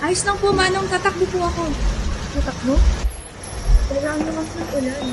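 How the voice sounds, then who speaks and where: A woman acts as an anxious young female student in a radio drama. Her voice is extremely high, over clearly audible street and vehicle noise. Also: normal speed, a crowd in the background. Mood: resolute, anxious.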